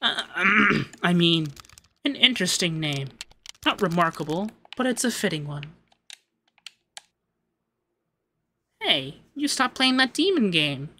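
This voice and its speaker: A man voicing a haughty young femboy demon lord, pompous but easily flustered and secretly soft, in an ASMR roleplay. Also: sits high, very animated, a little slow, slight background noise, exaggerated voice. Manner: pompous commands that slip into flustered cooing.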